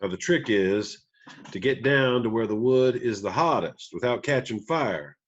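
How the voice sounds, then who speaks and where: A man playing a gentle, weary country musician father in a table read, with folksy, halting phrasing. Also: mid-range voice, animated, dull audio. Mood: tender, cautioning. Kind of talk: screenplay table read acting.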